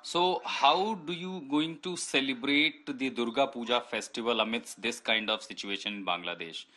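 A man's voice: mid-range voice, moderate pace, sharp tone. A man conducting a live TV news interview, asking probing questions with occasional fillers.

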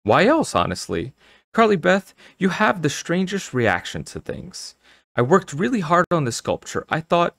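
A man voicing an energetic, insistent middle-aged mother in a storybook, with a brisk, emphatic manner. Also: on the high side, gravelly, dry recording, clean studio sound, voice pushed up, full character voice. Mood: hurt, defensive.

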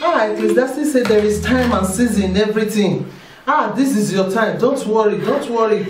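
A woman's voice: mid-range voice, moderate pace, natural voice. An actress playing a fervent pastor who prays over a weeping woman, repeating her words. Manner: fervent, repetitive, prayerful. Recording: echoey room, distant mic, music behind.

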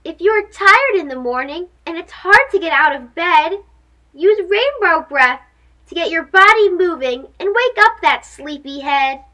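A young woman reads a picture book aloud for small children. Her voice is strikingly high and swings widely in pitch. She takes her time, with a sing-song delivery and a clear, bright edge. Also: lively intonation, measured pace, poor audio. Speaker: host of a children's read-aloud show.

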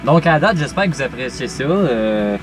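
A man's voice: medium pitch, moderate pace. A young man with a Québécois accent, talking casually in a truck cab through a camera mic. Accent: Québécois French. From Quebec. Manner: relaxed, many fillers. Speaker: truck driving student vlogger. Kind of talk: casual vlog commentary. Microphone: GoPro camera mic. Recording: lo-fi camera audio over music.

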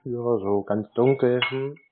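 A man chatting casually on a call, deadpan and laconic, sounding naive. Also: mid-range voice, slow, background noise. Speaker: prank scam-baiter playing a customer. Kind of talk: casual phone conversation. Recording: muffled narrow phone-like sound.

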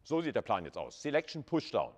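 Male lecturer, mid-pitched, conversational and informal, talking students through material with rhetorical questions. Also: wildly swooping pitch, fairly quick, slightly rough. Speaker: university lecturer. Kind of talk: live lecture explanation, addressing students. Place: classroom with chalkboard.

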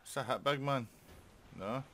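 A man's voice: mid-range voice, moderate pace, fairly bright tone, a little hiss or hum. A man giving casual let's play commentary, chatting and thinking aloud as he plays. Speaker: gaming channel host.

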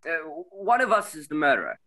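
A man's voice: a little high, huge pitch swings, a little fast, bright, forceful tone, exaggerated voice. A man acting a hesitant, agitated party guest in a mystery radio play. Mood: nervous.

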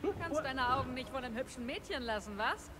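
A woman's voice: sits high, lively intonation, a little fast. An actress voicing a sneering, taunting woman villain in a fantasy video game. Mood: mocking. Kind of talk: video game combat voice line. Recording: game audio under battle noise.

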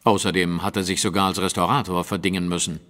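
Victorian detective for audio drama: low register, animated phrasing, composed, polite, precise, reverberant setting. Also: male voice, quick pace. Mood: probing. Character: composed, observant, courteous Victorian gentleman detective. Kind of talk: German audio drama acting.

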